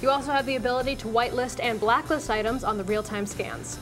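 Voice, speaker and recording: A woman's voice: on the high side, quick pace, music in the background. An American woman in her twenties co-hosting a tech show in a studio, presenting and chatting. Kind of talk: presenting software picks and tech chat. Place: indoor TV studio.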